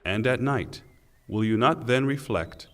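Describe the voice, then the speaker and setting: A man reading a scripture translation in a solemn, formal, deliberate way, with pauses. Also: medium pitch, animated, a bit bright. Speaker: translation reader. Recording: long hall reverb.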